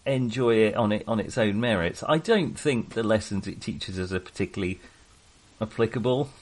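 A man chatting casually about films as a podcast co-host, in a halting, rambling manner. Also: fairly low pitch. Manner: halting, rambling, often chuckling. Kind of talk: casual film discussion.

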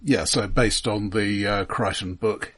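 A man with a husky, slightly rough voice co-hosts a casual film discussion. He explains background in an informed, conversational way. His phrasing is hesitant as he pieces details together. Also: fairly low pitch, a little slow, a bit bright. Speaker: film podcast co-host.

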